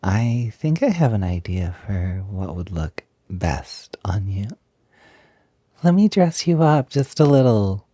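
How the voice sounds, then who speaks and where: A man's voice: on the low side, very animated, slow, warm tone, no room sound. A young man performing a one-sided audio roleplay as a playful, eager, feminine male roommate, chatty and coaxing with a hesitant delivery. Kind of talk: intimate improvised-sounding audio roleplay, one-sided conversation.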